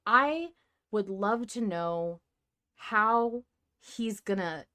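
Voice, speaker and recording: A woman's voice: medium pitch, expressive, extremely slow, bright, forceful tone, clean tone. A woman hosting a podcast in casual, enthusiastic discussion. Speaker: podcast host.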